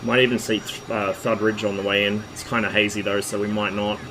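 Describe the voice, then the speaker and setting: Man, hoarse voice, low-pitched, easygoing rambling commentary, faint background hum. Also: brisk. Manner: relaxed, rambling, with fillers and asides. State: hoarse, losing his voice. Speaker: streamer. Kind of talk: casual flight-sim stream commentary.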